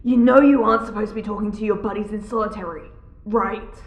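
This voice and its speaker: Woman playing a creaky, brusque prison guard. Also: mid-range voice, fairly even intonation, fast talker, echoey room, lo-fi sound, close to an everyday voice. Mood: brusque exasperation. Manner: brusque, bossy. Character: brusque, exasperated female solitary-wing guard. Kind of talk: audio drama acting.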